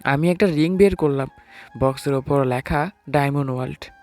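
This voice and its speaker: A man's voice: fairly high pitch, normal speed, darkish tone, close to an everyday voice. A man playing a nervous, hesitant newlywed husband who narrates his own story in an audio romance. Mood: sheepish narrating. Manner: hesitant, sheepish. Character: timid, nervous newlywed husband, late twenties. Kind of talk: first-person story narration with acted dialogue. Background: music.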